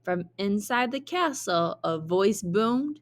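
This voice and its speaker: A woman reading a children's story aloud like a teacher, with expressive, deliberate narration. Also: medium pitch.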